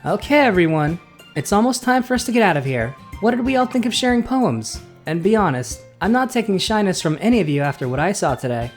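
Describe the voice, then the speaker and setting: Gentle, soft-spoken young male club president played by an actor. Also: sits high, sing-song, a little fast, faint music behind. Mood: upbeat, encouraging. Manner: soft-spoken, encouraging, hesitant. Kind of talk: visual novel audio drama acting.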